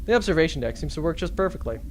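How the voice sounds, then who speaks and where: A man's voice: a little high, expressive, racing, noisy background, lightly acted. An actor playing a sardonic, unsociable spaceship crewman in an audio drama, dry and sarcastic. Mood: wry.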